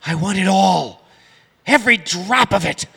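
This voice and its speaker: A man playing a greedy, pushy rich buyer with a folksy, excitable manner in a comic audio play. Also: sits high, a little slow, creaky, slightly rough, full character voice. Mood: eager, greedy. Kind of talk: comic audio theatre acting before a live audience. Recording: long hall reverb on stage.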